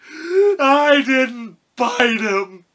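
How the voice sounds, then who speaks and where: A man's voice: very high-pitched, big pitch swings, very slow, bright, forceful tone, voice pushed up, exaggerated voice. A man voicing a big cowardly lion from a storybook, plaintive and blustery. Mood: wailing. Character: big male lion, cowardly, self-pitying, curious.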